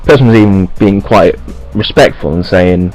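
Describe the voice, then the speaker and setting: A man recounting events in a measured way. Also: deep voice, darkish tone, faint music behind, rough recording. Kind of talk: telling a story to camera.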